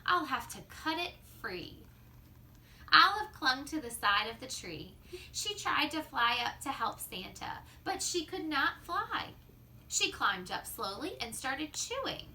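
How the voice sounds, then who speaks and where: A woman reading a children's story aloud like a teacher, expressively, drawing out words and dropping into hushed asides. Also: sits high, big pitch swings, measured pace.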